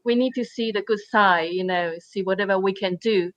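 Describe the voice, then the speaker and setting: A woman from Taiwan, a painter speaking on a panel in halting English with many fillers and restarts. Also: mid-range voice, dry recording, dull audio. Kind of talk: panel discussion.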